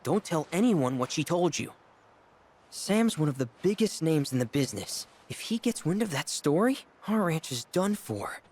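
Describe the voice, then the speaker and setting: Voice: mid-range voice, very animated, moderate pace, a little mellow. A performer voicing a shrewd young country boy in a video game, folksy and businesslike, with a Southern American accent. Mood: stern. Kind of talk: video game cutscene acting.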